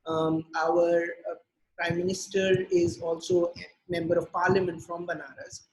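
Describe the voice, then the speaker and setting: High-voiced man, thoughtful and hesitant. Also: level tone, darkish tone, rough recording. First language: Urdu. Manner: measured, many filler pauses. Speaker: translator and poet, interview guest. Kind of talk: thoughtful interview answers. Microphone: video call.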